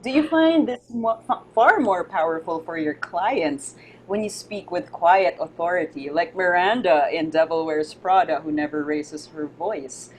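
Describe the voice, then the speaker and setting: A woman's voice: on the low side, unhurried, slight background noise, rough recording. A woman in her thirties moderating a video-call panel, playful and reading out audience questions. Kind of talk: casual panel hosting.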